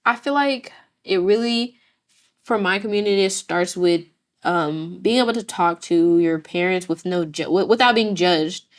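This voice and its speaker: A woman's voice: lowish voice, moderate pace. A teenage girl in a youth discussion, talking animatedly and repeating words as she thinks. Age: teens. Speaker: youth participant. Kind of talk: casual discussion.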